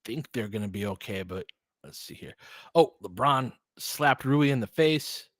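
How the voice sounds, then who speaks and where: A man's voice: mid-range voice, animated, unhurried, dry recording, clean studio sound. A man giving informal live basketball play-by-play and analysis, conversational and openly partisan, like a fan of the home team.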